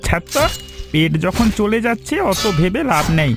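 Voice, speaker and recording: A man's voice: high-pitched, moderate pace. A man playing a lazy, gluttonous brother in a cartoon, easygoing but scornful. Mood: carefree, scornful. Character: lazy, gluttonous, carefree brother who sneers. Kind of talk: Bengali cartoon story acting. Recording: clear music under the voice.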